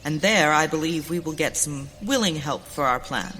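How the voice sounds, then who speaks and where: Actress doing masked cowboy hero, formal n steady, bright voice, western radio play in echoey hall. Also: female voice, low-pitched. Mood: commanding. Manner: formal, deliberate diction without contractions. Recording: hall reverb, faint noise.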